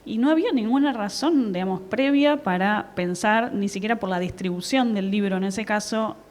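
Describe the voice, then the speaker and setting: A woman translator and teacher, explaining her ideas clearly on a panel, with occasional fillers. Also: medium pitch, normal speed, a little mellow. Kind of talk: panel discussion. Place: conference hall at a book fair.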